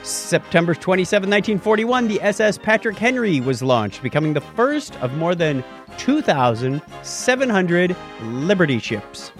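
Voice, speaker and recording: A man's voice: high voice, very animated, normal speed, soft background music. A man co-hosting a podcast, mixing casual banter with read-out items and jokey wordplay asides. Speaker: podcast co-host. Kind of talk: casual banter mixed with reading listener mail and history items.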